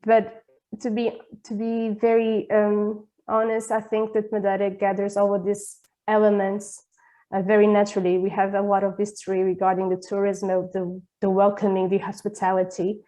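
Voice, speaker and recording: A woman presenting a project in a webinar, speaking haltingly with repeated words. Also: mid-range voice, completely flat delivery, warm tone, rough recording. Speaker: presenter of a digital nomad project.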